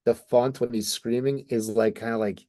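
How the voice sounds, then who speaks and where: A man with a mid-pitched voice talks casually as a podcast co-host. He sounds like an animated, enthusiastic fan discussing comics in detail, with some mild swearing. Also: moderate pace.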